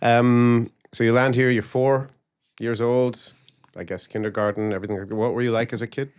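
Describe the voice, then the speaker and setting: A man's voice: mid-range voice, fairly even intonation, moderate pace, darkish tone, smooth, no echo, clean studio sound. A man hosting a podcast interview, chatty and upbeat with many fillers and restarts. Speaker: podcast host.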